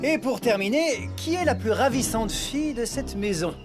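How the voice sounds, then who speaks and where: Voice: mid-range voice, expressive, moderate pace, close to an everyday voice. An actor playing a pompous, entitled young prince in a French cartoon, imperious and self-satisfied. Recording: music behind voice.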